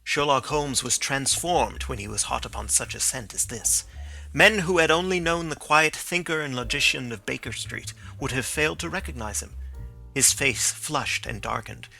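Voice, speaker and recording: A man narrating a classic story for an audiobook, reading in a steady, descriptive way. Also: mid-range voice, normal speed, vocal fry, faint music behind.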